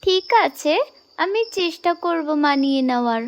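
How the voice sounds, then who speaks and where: Woman voicing a very high, girlish young bride. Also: normal speed, a little hiss or hum. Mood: anxious. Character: gentle, dutiful young married village woman, caring elder sister. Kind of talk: Bengali cartoon folk-tale dialogue.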